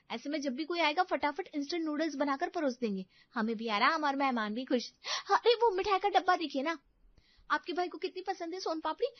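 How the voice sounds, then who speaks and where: A woman's voice: sits high, no echo, dull audio, lightly acted. A woman voicing a cheeky, scheming younger sister-in-law who talks in a bossy, persuasive, exasperated way.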